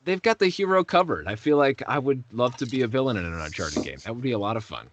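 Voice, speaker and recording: A man in a casual interview as a voice actor, rambling and self-deprecating, pausing to search for words. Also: mid-range voice, expressive, noisy background.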